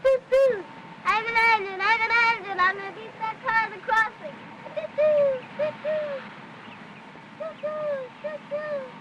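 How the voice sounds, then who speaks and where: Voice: very high voice, fairly even intonation, unhurried, sharp tone, highs cut off, heavily acted. A young performer playing an eager, boastful little boy in an old film, bossily pretending to be a cowboy. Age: child. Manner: bossy play-acting, boastful. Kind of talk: 1950s film acting. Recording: noisy old film soundtrack.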